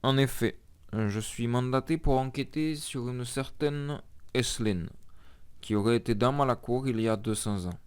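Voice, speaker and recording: A man's voice: medium pitch, normal speed, clear, resonant tone, lightly acted. A man voicing a polite vampire hunter hero who stammers under pressure. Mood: flustered.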